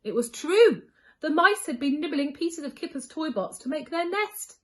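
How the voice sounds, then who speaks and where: A woman's voice: high voice, lively intonation, moderate pace, fairly bright tone. A woman reading a children's story expressively as a school storyteller, leaning on key words for young listeners.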